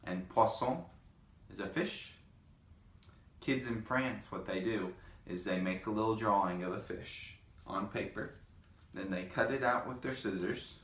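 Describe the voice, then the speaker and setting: A man reading a picture book aloud to children, with gentle, chatty asides to young listeners. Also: fairly low pitch, unhurried, roomy sound. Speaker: read-aloud host.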